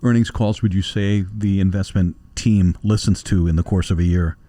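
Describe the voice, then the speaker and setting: Low-voiced male program host. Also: steady tone, normal speed, warm tone, dry recording. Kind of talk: presenting and reading listener questions.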